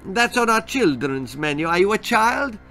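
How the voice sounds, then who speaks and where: A man's voice: sits high, very animated, moderate pace, sharp tone, pitched above the performer's own voice, heavily acted. A man voicing a shady, genial Italian mafioso pizza man with a sing-song Italian accent, in a children's story. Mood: genial salesman.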